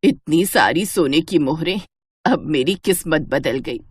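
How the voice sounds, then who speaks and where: A woman's voice: low voice, animated, unhurried, a little hiss or hum, voice pushed down. A woman voicing a poor, ailing old mother in a moral story cartoon, speaking in a plaintive manner. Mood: amazed. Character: sick, abandoned, pleading old mother.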